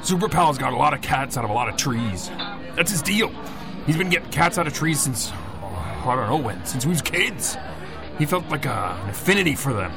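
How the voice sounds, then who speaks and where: An actor playing a superhero's devoted childhood friend, a gravelly, slangy, rambling regular guy in a mockumentary comedy. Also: medium pitch, lively intonation, moderate pace, music in the background, a crowd in the background. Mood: nostalgic. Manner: folksy, slangy, rambling storytelling. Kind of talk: mockumentary interview in a comedic audio drama.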